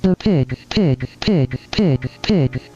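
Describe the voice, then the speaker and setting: A woman playing the narrator of an eerie children's storybook, telling the tale in a measured, halting way. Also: rumbling low, lively intonation, dark tone, exaggerated voice. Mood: eerie storytelling. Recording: dull lo-fi audio, faint music.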